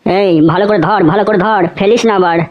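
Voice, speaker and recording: A woman's voice: mid-range voice, a little fast, lo-fi sound, lightly acted. A woman voicing a teasing, bossy schoolboy classmate in a comedy cartoon. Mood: urgent, bossy.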